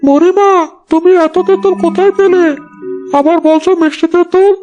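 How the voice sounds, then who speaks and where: Woman doing a very high eager market customer. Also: fairly even intonation, a little fast, mellow, faint music behind. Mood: impressed. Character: admiring tamarind customer at a village market. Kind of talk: Bengali children's cartoon dubbing.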